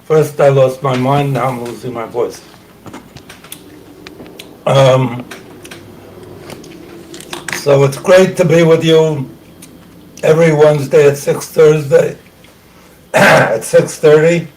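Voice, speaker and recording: An older man hosting a talk show, speaking slowly and haltingly, clearing his throat often and losing his voice. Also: a little high, steady tone, darkish tone, far from the mic, a little hiss or hum, low-quality recording. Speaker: host. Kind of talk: casual talk show monologue.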